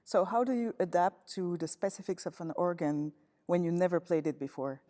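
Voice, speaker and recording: A woman from Toronto, working as a musicologist who asks interview questions. She speaks haltingly, searching for words with many fillers. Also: on the low side, mellow. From Toronto, Canada. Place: large church. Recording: long church reverb.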